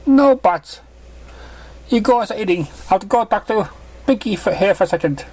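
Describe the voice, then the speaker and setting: Casting: motherly cartoon pegasus mare, played by a man, voice lifted high, caring, reassuring, gently firm. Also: lively intonation, normal speed.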